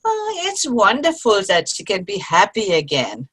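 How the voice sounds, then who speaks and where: A woman's voice: medium pitch, huge pitch swings, a little slow, fairly bright tone, slightly rough, full character voice. A woman playing a cheerful, teasing grandmother among old friends in an amateur comedy play reading. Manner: good-humored, teasing. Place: online video-call play reading.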